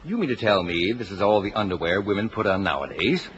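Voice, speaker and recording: Fussy, deep-voiced exasperated father. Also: lively intonation, fast, a bit bright. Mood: embarrassed, stern. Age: middle-aged. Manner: pompous, indignant, dryly sarcastic. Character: fussy, exasperated father, a stern efficiency-minded patriarch. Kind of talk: old-time radio drama acting. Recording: muffled, noisy old radio broadcast.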